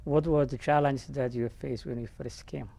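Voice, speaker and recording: Mellow, creaky-voiced male interview host. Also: medium pitch, fairly even intonation, moderate pace. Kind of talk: informal interview questions.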